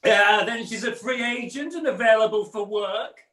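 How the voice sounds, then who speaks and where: A man's voice: high-pitched, moderate pace, sharp tone. A man playing a brash, cheeky female potion peddler in an amateur pantomime, with theatrical sales patter. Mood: cheeky, bargaining. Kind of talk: amateur pantomime acting with comic songs. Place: at home, indoors. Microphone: video call. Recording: roomy home video-call recording.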